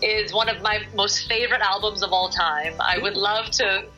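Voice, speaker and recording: A woman's voice: medium pitch, steady tone, normal speed, lo-fi sound, music in the background. A middle-aged woman from Singapore, a singer-songwriter guest, answering interview questions in a thoughtful, hesitant way with many fillers and restarts.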